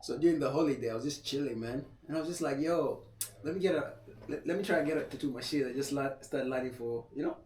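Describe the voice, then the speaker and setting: A young man from Kenya, a tattoo artist, chatting casually on a podcast in a relaxed, slangy way. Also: medium pitch, fast, distant mic, slight background noise. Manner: laid-back, slangy, laughs often. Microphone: podcast mic set back. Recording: echoey room, voice off-mic.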